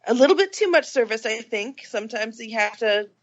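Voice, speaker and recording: A woman's voice: on the high side, lively intonation, a little fast, lo-fi sound. Stuffy-nosed chatty woman. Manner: chatty, laughing, self-deprecating. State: stuffy from a cold. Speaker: podcast co-host. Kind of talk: casual chat.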